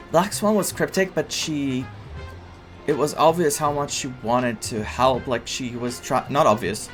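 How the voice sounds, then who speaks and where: A man's voice: mid-range voice, a bit bright. Man gushing over game music. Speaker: host, game streamer. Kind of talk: casual let's play commentary.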